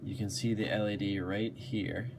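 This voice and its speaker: Low-pitched man narrating a hands-on how-to. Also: steady tone, moderate pace. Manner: plainspoken, explaining each step as he works. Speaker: DIY tutorial host. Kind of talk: casual step-by-step how-to narration. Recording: clearly noisy hobby video with clicks.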